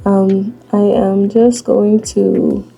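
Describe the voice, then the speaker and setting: A young Bahamian woman in her late twenties talks slowly and easily while she does her makeup. Her voice is gravelly and mellow. Soft music plays faintly behind her. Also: mid-range voice, poor audio. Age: 20s. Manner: slow, relaxed, chatty while doing makeup. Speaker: vlogger. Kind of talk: casual get-ready-with-me chat.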